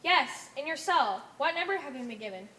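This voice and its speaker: A woman's voice: high voice, animated, brisk, pushed and bright. A woman on stage playing a solemn member of a strange blue cult, earnest and matter-of-fact. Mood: curious. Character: solemn blue cult member from a laboratory-made cell society. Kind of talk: amateur one-act stage play acting. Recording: echoey stage, noisy room ambience.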